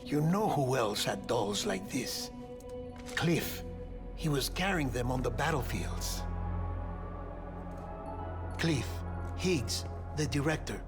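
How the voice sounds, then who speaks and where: An anxious, analytical expert from a cinematic game. He has a mid-pitched voice and explains things earnestly and urgently, choosing his words clearly. Music is audible behind him. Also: animated, slow pace, long pauses. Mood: intense, reasoning.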